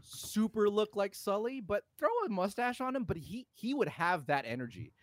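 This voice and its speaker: A man with a fairly high, very animated voice, recorded close and dry, co-hosts a podcast. He chats about films casually and excitably, full of slang and swearing. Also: normal speed, no room sound. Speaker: podcast co-host. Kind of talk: casual film chat.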